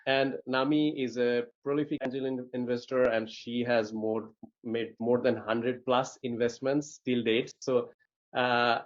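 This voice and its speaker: A man's voice: mid-range voice, fairly even intonation, unhurried. A man hosting an interview, introducing his guest and asking questions hesitantly, with many fillers. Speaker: community host. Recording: dull lo-fi audio.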